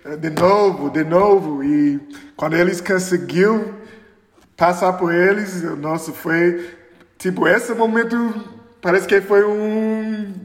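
A forty-year-old man from Chicago with an American accent, a native English speaker, reminiscing casually as a podcast guest with halting, non-native grammar. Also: high-pitched. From Chicago, United States. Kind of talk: casual recollection in interview. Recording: echoey, reverberant room.

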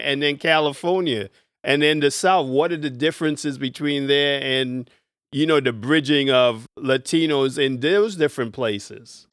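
A man's voice: on the high side, fairly bright tone, clear, resonant tone, dry recording, clean studio sound. A man hosting an interview, asking loose, stacked questions and rephrasing as he goes. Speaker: interviewer, host.

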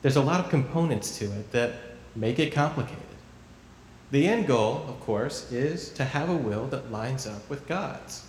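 An actor playing a gentle youth camp preacher giving a measured, folksy sermon in an audio drama. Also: male voice, mid-range voice, expressive, natural voice. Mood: earnest preaching. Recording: echoey hall with long reverb, faint noise.

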